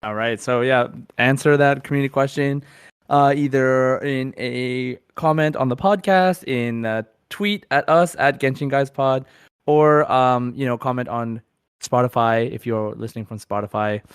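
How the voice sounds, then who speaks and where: A man's voice: medium pitch, fairly even intonation, measured pace, clear, resonant tone, no room sound, studio quality. A man hosting a podcast, chatting casually and reading out listener comments in an easygoing way. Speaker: podcast host.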